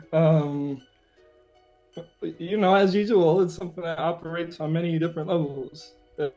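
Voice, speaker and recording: A man's voice: on the high side, steady tone, a little slow, long pauses, mellow, faint music behind. A man answering interview questions as a musician, talking informally in hesitant, thoughtful phrases. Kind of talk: casual conversational interview answers.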